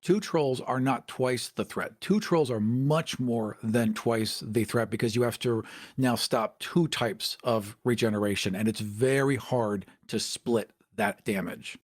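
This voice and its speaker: Male podcast host, medium pitch. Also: unhurried, no echo, clean studio sound. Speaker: podcast co-host, writer and producer. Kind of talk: podcast hosting and chat.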